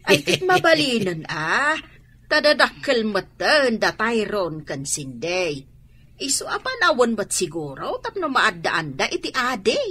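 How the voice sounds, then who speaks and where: A woman's voice: on the high side, sing-song, unhurried, pushed and bright, slightly rough, exaggerated voice. A woman playing a firm, concerned older mother and grandmother in an Ilocano radio drama. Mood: thoughtful.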